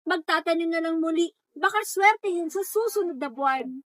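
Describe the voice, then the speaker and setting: A woman's voice: very high voice, animated, moderate pace. A woman playing a long-suffering farm animal parent, plaintive and earnest, in a reader's theatre monologue. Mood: resigned.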